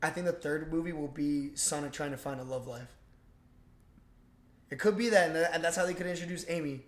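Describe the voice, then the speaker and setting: A young man co-hosting a podcast, chatting casually and excitably in slangy speech. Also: a little high, fairly quick. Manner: slangy, excitable, says bro and dude often. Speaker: podcast co-host. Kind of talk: casual chat about geek movies. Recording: roomy, echoey sound.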